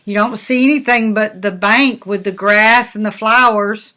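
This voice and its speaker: An older woman, a grandmother, reading a picture book aloud to young children with gentle, folksy explanations and chatty asides. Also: mid-range voice, fairly even intonation, normal speed.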